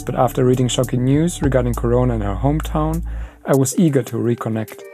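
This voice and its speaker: A man hosts a podcast and reads his scripted introduction at a steady, measured pace. His voice is mellow and of middling pitch, with soft music under it. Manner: measured, plainly read. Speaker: podcast host.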